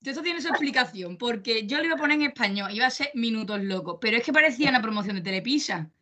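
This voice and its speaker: A woman's voice: fairly high pitch, lively intonation, fast talker, pushed and bright. A young woman hosting her podcast in a loose, chatty way, with frequent restarts. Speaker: podcast host.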